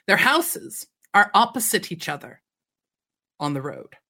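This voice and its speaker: A woman in a casual chat, telling an anecdote in an animated, amused way. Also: medium pitch.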